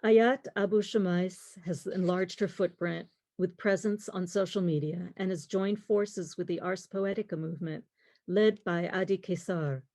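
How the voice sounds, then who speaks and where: A middle-aged woman lecturing on literature, reading in a measured, deliberate way with pauses. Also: lowish voice, darkish tone, no room sound. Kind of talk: prepared lecture, reading quotes and poems aloud.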